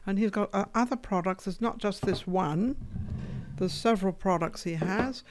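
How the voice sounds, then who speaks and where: A woman's voice: medium pitch, normal speed, a little hiss or hum. An older woman hosting a studio interview, chatty and playful as she prompts her guest, speaking into a desk mic. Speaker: interviewer, host. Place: small studio with foam wall panels. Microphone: desk podcast mic.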